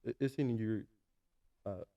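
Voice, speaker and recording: A man in his early thirties from Mexico with a Mexican Spanish accent, a guest talking casually in an interview with many pauses and restarts. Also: on the low side, creaky, warm tone, muffled recording. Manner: hesitant, many fillers and restarts. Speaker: guest, food truck owner.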